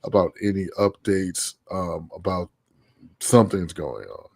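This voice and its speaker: Male live-stream host, deep, dry sound, outspoken. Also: expressive, unhurried, gravelly, no echo. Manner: blunt, emphatic, swears. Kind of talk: live-stream talk show.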